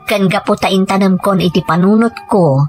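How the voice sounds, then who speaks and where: A woman's voice: medium pitch, normal speed, close to an everyday voice. A woman in an Ilocano audio drama playing an earnest young woman living among forest rebels. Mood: reflective. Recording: loud music over the voice.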